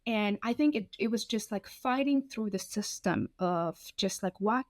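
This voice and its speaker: A woman in her thirties from Ethiopia, telling her own story in an interview, candid and animated, often acting out what people said. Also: mid-range voice, moderate pace, no room sound, clean studio sound. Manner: frank, animated, acts out quoted dialogue. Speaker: guest.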